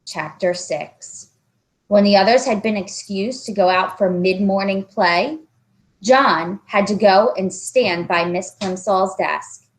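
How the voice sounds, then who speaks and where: A woman narrating a children's book aloud, expressive and carefully phrased, as a storyteller. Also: medium pitch, slow pace.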